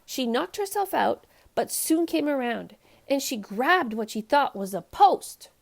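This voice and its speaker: Animated, high-voiced woman reading a children's storybook. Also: a little slow, gravelly. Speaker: host of a children's read-aloud show. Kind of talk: expressive storybook narration for young children.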